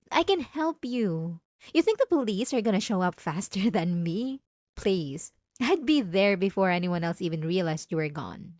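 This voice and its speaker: A woman playing a possessive, obsessive wife in an intimate ASMR roleplay, pleading and defensive, coaxing with halting, stammered self-corrections. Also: on the high side, dry recording, studio quality. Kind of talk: ASMR roleplay drama, intimate monologue to listener.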